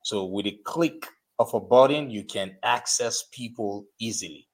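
A man hosting a live podcast interview, urging his listeners in an emphatic, exhorting way and spelling out names slowly. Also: lowish voice, vocal fry, slightly rough. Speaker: podcast host. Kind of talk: motivational interview show.

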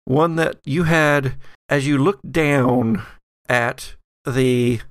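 A man's voice: medium pitch, extremely slow, dry recording, clean studio sound. A man narrating as game master of a horror tabletop role-playing game.

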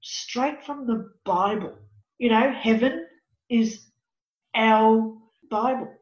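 A raspy-voiced woman in her fifties with a fairly high pitch. She speaks as an interview guest, emphatic and preachy. The audio sounds dull and lo-fi, with a noticeable echo. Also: slow. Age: 50s. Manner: emphatic, preachy, repeats "you know". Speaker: interview guest, life coach. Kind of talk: earnest personal conversation.

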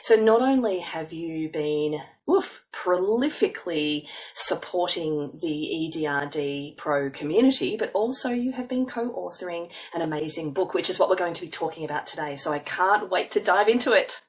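A woman's voice: lowish voice, normal speed, few pauses, distant mic. A woman hosting a podcast, introducing her guest in a casual, rambling way with many fillers and restarts. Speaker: podcast host.

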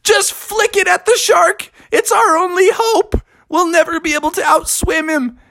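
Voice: extremely high pitch, fairly even intonation, unhurried, gravelly, bright, forceful tone, no echo, pitched above the performer's own voice, exaggerated voice. A storyteller playing a bubbly, confident young female cartoon mermaid, chatty and breezy. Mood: urgent eager.

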